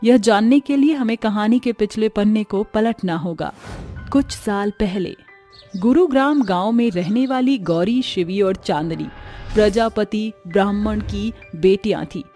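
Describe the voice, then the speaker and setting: A woman's voice: medium pitch, a little slow, dark tone, soft background music. A woman narrating a scripted cartoon story in a dramatic storytelling style.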